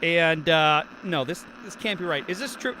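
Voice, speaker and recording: An actor playing a flustered radio station host in a radio drama, stammering and hesitant. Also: male voice, a little high, animated, moderate pace, bright, forceful tone, a little hiss or hum, rough recording. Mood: flustered, disbelieving. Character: flustered male radio station host, keeping the show going.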